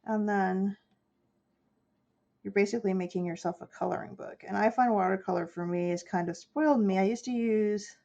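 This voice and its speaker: Stuffy-nosed woman with a gravelly edge. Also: medium pitch, moderate pace, warm tone, dull audio. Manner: chatty, explaining her steps as she works. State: stuffy nose from a cold. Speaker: artist streaming her painting. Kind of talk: casual chat while painting.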